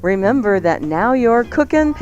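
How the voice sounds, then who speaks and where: A woman's voice: on the high side, very animated, normal speed, darkish tone, muffled recording. A woman co-hosting a cooking radio show in casual, chatty style.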